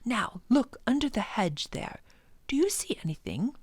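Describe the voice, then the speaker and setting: A woman voicing an earnest, enthusiastic male professor in a children's storybook, urging listeners on with dramatic emphasis and hushed words. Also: on the high side, very animated, a little slow, breathy edge, no echo, exaggerated voice. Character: older male professor, passionate, earnest, wonder-filled teacher. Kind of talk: children's storybook read-aloud.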